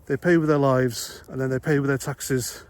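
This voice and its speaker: A man's voice: mid-range voice, fairly even intonation, moderate pace, darkish tone. A man from Wales with a Welsh accent, an ex-army vlogger giving a blunt, conversational opinion monologue full of rhetorical questions.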